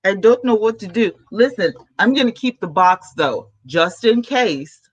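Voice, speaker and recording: Chatty, excitable woman vlogging from home. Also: mid-range voice, unhurried, husky, dull audio. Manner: chatty, excitable, talks to chat. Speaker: YouTuber. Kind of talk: casual vlog chat to viewers. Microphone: camera mic, then a new plug-in USB mic.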